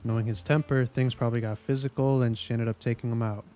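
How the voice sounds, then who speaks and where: A man plays a seasoned police investigator in an audio drama, jaded and sardonic. His mellow, dark-toned voice has a casual, needling delivery over faint music. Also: mid-range voice, level tone, fast, lightly acted. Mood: musing, wry.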